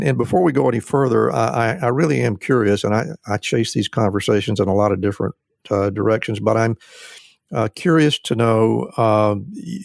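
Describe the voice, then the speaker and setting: A middle-aged man hosts a podcast interview, working his way through each question slowly and with frequent pauses. His voice sits lowish and carries a gravelly fry. The sound is dry and close to the mic. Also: darkish tone, dry recording. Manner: hesitant, full of "uh" fillers and restarts. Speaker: podcast host.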